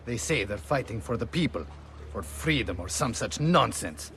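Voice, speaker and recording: Showy, animated Venetian gentleman. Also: medium pitch, moderate pace, slight background noise. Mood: explanatory, disdainful. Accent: Italian. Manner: florid, formal, showy. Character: courteous, opinionated Venetian gentleman guide.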